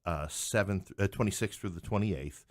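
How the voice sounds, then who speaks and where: Deep-voiced wry man telling stories. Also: moderate pace, dry recording, clean studio sound. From New York City. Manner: wry, joking asides. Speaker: playwright and podcast guest. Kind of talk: conversational interview storytelling.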